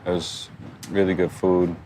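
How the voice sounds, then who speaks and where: A man's voice: sits low, level tone, unhurried, dark tone, a little hiss or hum. A man co-hosting a podcast, chatting in an informal, agreeable way. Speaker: podcast co-host. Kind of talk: casual podcast chat.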